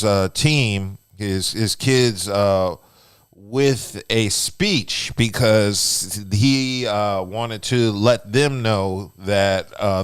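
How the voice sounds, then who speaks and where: A man with a naturally loud, booming voice co-hosts a casual podcast, explaining at an even pace with plenty of "uh"s and "you know"s. His pitch sits a little low, and his voice comes through smooth and resonant. The close studio mic leaves it dry. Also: dry recording. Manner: steady explanatory talk with frequent fillers. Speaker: podcast co-host. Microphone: close radio-style studio mic.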